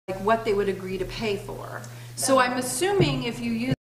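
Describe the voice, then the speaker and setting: A woman's voice: a little high, expressive, moderate pace, crowd noise. A woman on a town board reasoning through a question aloud at a public meeting. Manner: thinks aloud, frequent "like". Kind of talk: meeting discussion. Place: town hall meeting room. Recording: echoey room with people around.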